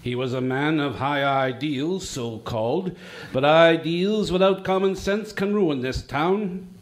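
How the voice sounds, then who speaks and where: An actor playing a greedy, scornful old banker in an amateur radio play, talking in a cold, contemptuous, domineering way. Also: male voice, on the high side, unhurried, natural voice.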